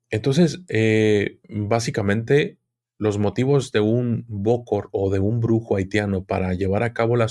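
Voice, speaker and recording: Mexican man, low-pitched voice, podcast guest, conversational explainer, halting delivery with repeated words. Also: darkish tone. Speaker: podcast guest, occultism expert.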